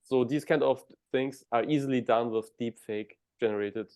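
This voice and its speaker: A man with a German accent, speaking English as a second language, explains things in an interview. His voice sits at an ordinary middle pitch. He builds points step by step, often stopping and restarting words. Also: fairly even intonation, moderate pace, a little mellow, clean tone. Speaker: guest.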